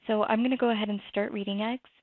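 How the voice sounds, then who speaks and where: A woman presenting a software walkthrough, explaining each step in a conversational way. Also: mid-range voice, steady tone, fast talker, no echo. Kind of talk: screen-recorded software walkthrough. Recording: tinny phone-like dry audio.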